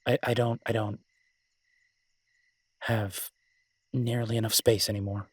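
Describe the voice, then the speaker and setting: Male actor as smitten werewolf man, low husky voice, tender, teasing, rambling, self-deprecating, intimate romance audio roleplay. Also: level tone, measured pace, dry recording, clean studio sound. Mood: playful, self-deprecating.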